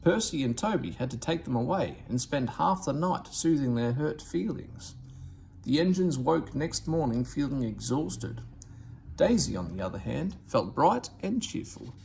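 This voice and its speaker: Guy reading a kids storybook out loud, really lively pitch, bit gravelly, faint noise behind. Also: measured pace. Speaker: storybook reader. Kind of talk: expressive children's read-aloud narration.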